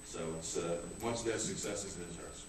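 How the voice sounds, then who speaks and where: A man's voice: low-pitched, normal speed. A man giving a public award speech as a police captain, in an anecdotal, conversational way. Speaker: police captain presenting a commendation. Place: indoor public meeting room. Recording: echoey room, distant mic, clear background noise.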